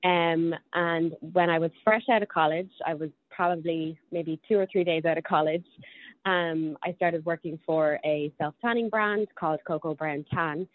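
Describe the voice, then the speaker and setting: Low-voiced Irish woman on a tinny line. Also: steady tone, normal speed. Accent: Dublin Irish. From Ireland. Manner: hesitant, many ums and restarts. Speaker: podcast guest. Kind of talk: casual interview chat.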